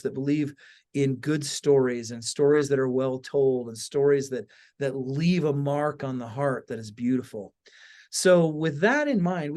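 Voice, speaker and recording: A man's voice: fairly high pitch, darkish tone. Earnest man hosting a conversational podcast. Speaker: podcast host.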